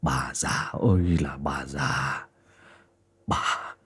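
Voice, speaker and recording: A man voicing a cruel, hot-tempered grown son, speaking gruffly and snapping. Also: extremely low pitch, normal speed, voice pushed down. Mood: enraged.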